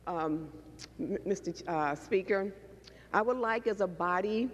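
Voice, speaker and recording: A woman's voice: medium pitch, measured pace. A middle-aged woman, a state legislator, reading a formal resolution into a handheld microphone. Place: large indoor legislative chamber. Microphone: handheld floor microphone. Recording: reverberant legislative chamber, muffled PA sound.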